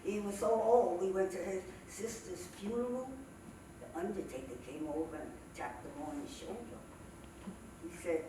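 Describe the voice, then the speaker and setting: A woman performing as a sassy, cranky old woman comedian, telling stand-up stories in a folksy, drawn-out way with dramatic pauses. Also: fairly low pitch, lively intonation, natural voice. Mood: mischievous storytelling. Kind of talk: theatrical stand-up storytelling monologue. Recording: echoey, noisy stage recording from far off.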